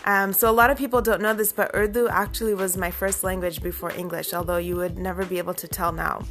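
A woman's voice: lowish voice, a bit bright. A young woman from Canada telling a personal story in a chatty way, full of asides. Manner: chatty, many fillers and asides. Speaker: vlogger.

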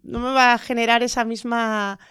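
A woman's voice: a little high, flat delivery, moderate pace, a bit bright, smooth, no echo, clean studio sound. A middle-aged woman with a Spanish accent from Spain, speaking as an interview guest in a reflective, hesitant way with many fillers and tag questions.

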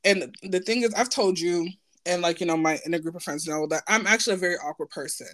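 A woman's voice: lowish voice, fast, fairly bright tone, no echo. A woman speaking informally and candidly in a personal conversation, often checking in for agreement as she goes.

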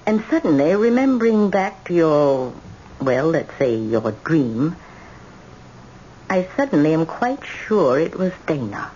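A woman's voice: deep voice, very animated, unhurried, warm tone. An actress playing a stern, repentant old grandmother in an old-time radio drama. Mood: remorseful, grave. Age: older. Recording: muffled, noisy old radio recording.

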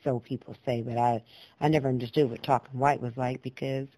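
A woman telling personal stories in a reflective, earnest, deliberate way, repeating phrases for emphasis, with clear, proper diction. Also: very deep voice, fairly even intonation, warm tone, dry recording, rough recording. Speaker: guest storyteller, poet and singer.

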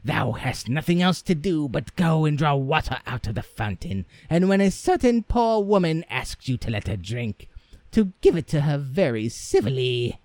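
A man voicing a harsh, doting mother from an old fairy tale, raising his voice high and shrill as she scolds. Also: sing-song, normal speed, a little mellow, no echo. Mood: coaxing.

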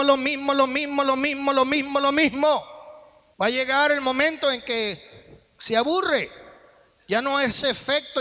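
A man's voice: very high-pitched, sharp tone. A man preaching a sermon in a church hall, with emphatic rising bursts. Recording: muffled church hall echo.

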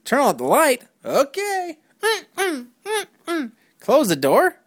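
A father narrating a playful family skit, jumping into high, exaggerated questions as he plays along. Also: huge pitch swings, slow pace, dry recording. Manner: jumps into high, exaggerated questions when voicing his lines. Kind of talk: playful home-video narration.

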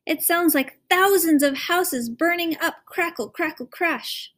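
A woman's voice: unhurried, a bit bright. A woman doing a fretful little boy. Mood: whiny. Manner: high, pleading, complaining. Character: small boy, sensitive and easily upset.

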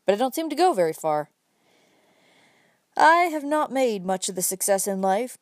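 A woman's voice: medium pitch, darkish tone, no echo, clean studio sound, lightly acted. Woman voicing a curt, bitter young drifter. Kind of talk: audiobook character reading.

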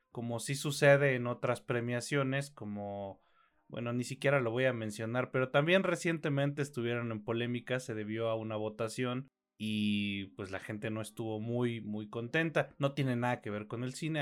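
Tired Mexican man chatting, medium-pitched voice. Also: fairly bright tone, clean tone. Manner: rambling, informal, self-correcting. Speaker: podcast co-host. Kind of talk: casual film podcast chat.

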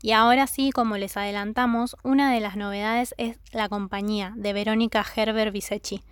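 Podcast presenter: woman, scripted links between segments, mid-pitched, recorded very dry. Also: fairly even intonation, moderate pace, clear, resonant tone, no room sound. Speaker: podcast host.

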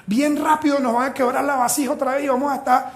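A man's voice: very high-pitched, fairly even intonation, quick pace, roomy sound, voice pushed up. A man voicing an exasperated ancient male prophet-leader who scolds his people.

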